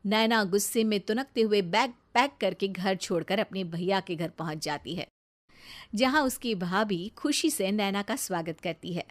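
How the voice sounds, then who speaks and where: A woman narrating a scripted animated family story as its storyteller. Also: medium pitch, moderate pace.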